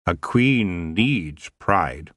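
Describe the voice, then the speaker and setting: A man voicing a lazy, seductive male elf king who drawls his words slowly. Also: low-pitched, lively intonation, a bit bright, no echo, clean studio sound, full character voice. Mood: languid. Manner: slow, languid, drawling.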